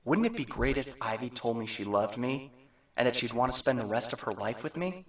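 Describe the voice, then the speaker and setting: A man's voice: medium pitch, very animated, a little fast, crowd noise, natural voice. A man voicing a naive, awkward young mouse hero who is eager and lovestruck, in a parody cartoon dub.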